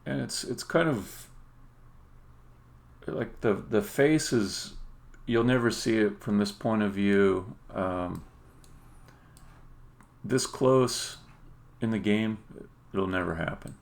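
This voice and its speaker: A man giving a casual live-stream demo as a game developer, halting and muttering to himself as he works. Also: fairly low pitch, vocal fry. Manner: hesitant, halting, muttering to himself while working. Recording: noisy room, keyboard and mouse clicks.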